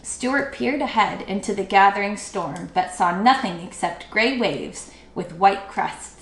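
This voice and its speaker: A woman reading a children's book aloud expressively, with dramatic, drawn-out emphasis. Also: medium pitch, expressive. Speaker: storybook read-aloud teacher. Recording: echoey room.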